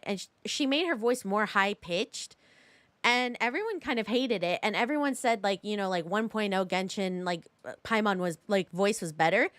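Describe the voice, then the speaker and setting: A woman's voice: a little high, fairly bright tone, no room sound. A woman streamer giving casual live game commentary and chatting to viewers in a rambling, slangy way. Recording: game music behind.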